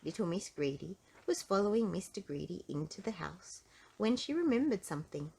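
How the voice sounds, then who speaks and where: A woman reading a children's storybook in an animated, playful way, drawing out key words for emphasis. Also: medium pitch, moderate pace, gravelly, husky, poor audio. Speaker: storybook reader.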